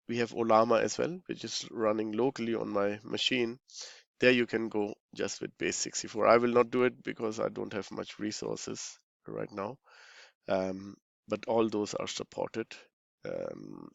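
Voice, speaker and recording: A man with a lowish voice full of heavy fry walks through software in an informal, instructional way. His delivery is very slow and halting, as he thinks aloud and mutters to himself while he works. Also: dry recording, lo-fi sound.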